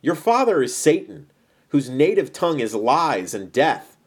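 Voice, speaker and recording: Man as authoritative teacher in his thirties, solemn, emphatic, measured, very varied intonation, Bible storytelling. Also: on the high side, big pitch swings, breathy edge, voice pushed up, exaggerated voice. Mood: bold, impassioned. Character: Jesus, calm but bold, authoritative teacher in his thirties.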